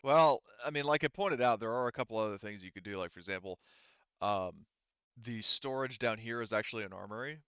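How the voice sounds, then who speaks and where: Low, smooth-voiced man running a game. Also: fairly bright tone, no echo, muffled recording. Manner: halting, thinking aloud mid-sentence. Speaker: game master. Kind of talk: improvised game-mastering of an online tabletop game.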